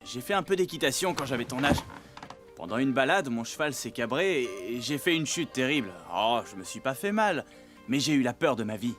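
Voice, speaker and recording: Earnest cartoon male played by a man, crisp bright tone, animated melody, faint music. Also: medium pitch, brisk, lightly acted. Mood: reminiscing. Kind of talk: animated series dubbing.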